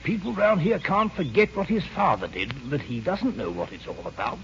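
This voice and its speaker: A man's voice: on the high side, expressive, normal speed. An actor playing an earnest, kindly old doctor in a radio drama, emphatic and persuasive in his pleading. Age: older. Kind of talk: 1930s-40s radio drama acting. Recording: dull, noisy old radio broadcast.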